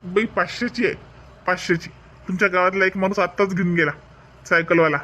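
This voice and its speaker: A man's voice: high-pitched, steady tone, a little fast, bright, forceful tone, a little hiss or hum, poor audio. A man playing a chatty village umbrella seller in a comic cartoon, with folksy sales patter and a Varhadi Marathi accent. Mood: persuasive, friendly. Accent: Varhadi Marathi from Vidarbha.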